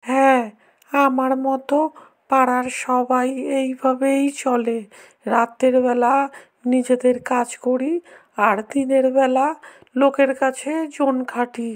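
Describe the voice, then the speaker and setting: A woman's voice: high-pitched, level tone, slow pace. A woman playing a hard-working poor village farmer in a cartoon story, plain and matter-of-fact. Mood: resigned.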